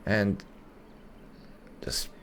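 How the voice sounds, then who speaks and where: A man's voice: very slow, whispered. A man giving casual let's-play commentary in a wry, explanatory, sweary way. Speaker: gaming video host.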